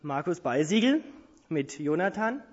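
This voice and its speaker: A husky-voiced man from southern Germany, calmly and sincerely introducing himself as a missionary at a church service. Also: a little high, a little slow, in a large hall. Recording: dull lo-fi recording.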